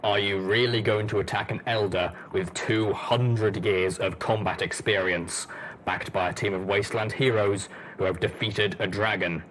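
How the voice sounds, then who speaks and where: A man voicing a stern, two-hundred-year-old ghoul pony veteran, speaking slowly and in warning for a story. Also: lowish voice, steady tone, a bit bright, slight background noise, natural voice, low-quality recording. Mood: menacing, warning.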